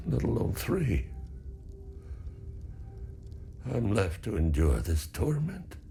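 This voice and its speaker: An actor playing a weary, stoic older farmer in a video game, grieving and embittered by war, speaking in a measured way. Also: male voice, low voice, vocal fry, a little mellow, a little hiss or hum, full character voice. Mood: grieving, resigned. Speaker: non-player character. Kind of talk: video game dialogue acting.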